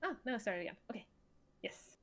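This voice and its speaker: A woman's voice: fairly high pitch, sing-song, a little fast, fairly bright tone. A woman hosting a livestream about her own game, explaining casually and searching for words. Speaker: livestream host and game creator.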